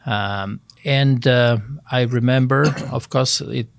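A man of about seventy from Poland, with a Polish accent, speaking as an interview guest in a measured way and searching for his words. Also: mid-range voice, vocal fry, no room sound, clean studio sound. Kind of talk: interview, recounting family history.

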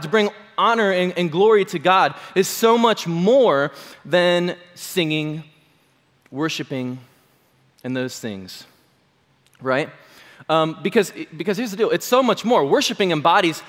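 A male pastor with a hoarse voice delivers a casual, rambling sermon, dotted with "um" and "like". His voice sits a bit high for a man. Long reverb from the church hall trails behind every line. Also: a bit bright. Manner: chatty, self-deprecating, full of fillers.

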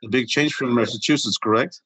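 A man hosting a conversational podcast, introducing his guest in a halting, deliberate way. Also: medium pitch, fairly bright tone, breathy edge, no echo. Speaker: podcast host.

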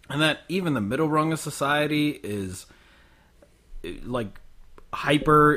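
A man's voice: medium pitch, a bit bright. A young adult man co-hosting a casual podcast, rambling with many restarts and fillers.

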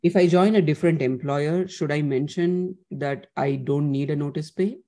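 Higher-pitched, mellow male caller. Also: moderate pace. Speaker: caller asking for advice. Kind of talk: question and answer.

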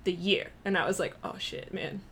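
A young woman co-hosting a podcast, tired from little sleep, talking in an animated, self-mocking way and laughing a lot. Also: fairly low pitch, moderate pace, slightly rough. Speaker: podcast co-host. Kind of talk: casual chat.

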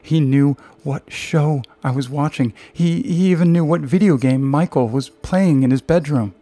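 A man's voice: mid-range voice, normal speed, creaky, warm tone, no echo. A man voicing a frightened, skittish mother in an audiobook, breathless and stammering as her words tumble out. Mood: panicked, breathless. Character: frightened, frantic mother of a college student.

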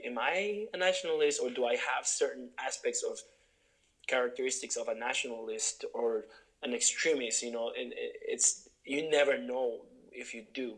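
A man's voice: medium pitch, a bit bright, low-quality recording. Earnest young man from Honduras, guest in a reflective talk, thinks aloud with restarts. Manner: earnest, searching, many restarts and fillers. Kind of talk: reflective interview conversation.

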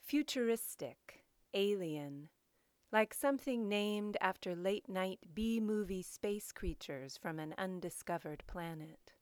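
A woman reads in a literary, scripted style, with slow and deliberate phrasing. Her voice is clear and smooth, sitting at a medium pitch. The sound is dry, with very little room around it. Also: expressive, no room sound. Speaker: poetry show host and reader. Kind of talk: literary poetry reading, scripted introduction.

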